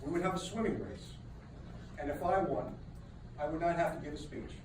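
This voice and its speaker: A man's voice: a little high, moderate pace. A man giving a humorous wedding rehearsal dinner speech, telling dry, self-deprecating jokes to a laughing crowd. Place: large banquet room with a crowd. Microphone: no microphone, recorded from across the room. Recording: echoey room, distant, loud crowd noise.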